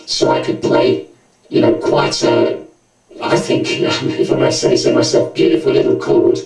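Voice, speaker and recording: A man's voice: mid-range voice, fairly even intonation, unhurried. A man demonstrating music gear, speaking through a synth-driven vocoder effect. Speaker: tutorial presenter. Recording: echoey room, loud synthesizer tones.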